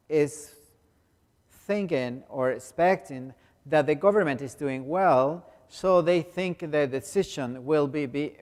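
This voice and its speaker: A Salvadoran pastor, a man who grew up speaking Spanish, talking in halting English with a Spanish accent and many restarts. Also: on the high side, a little mellow, long reverb tail. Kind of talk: speaking to a congregation in non-native English.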